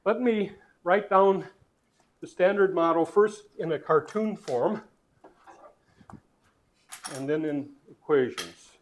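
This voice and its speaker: Lecturing man, higher voice, halting delivery. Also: slight background noise. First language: not Dutch. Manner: thinking aloud with restarts and fillers, varying loudness. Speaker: physics lecturer. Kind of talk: university lecture at a board.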